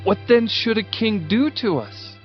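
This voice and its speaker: A man's voice: sits high, animated, measured pace, pitched above the performer's own voice. A man voicing the defiant, godless people of ancient Israel in a dramatized scripture reading. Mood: bitter, defiant. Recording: muffled audio with background music.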